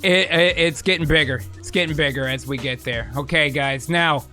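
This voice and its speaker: Man, American, host, rambling profane commentary, high voice, bright tone, faint music behind. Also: moderate pace. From United States. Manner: rambling, profane, thinks aloud. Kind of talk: casual livestream commentary.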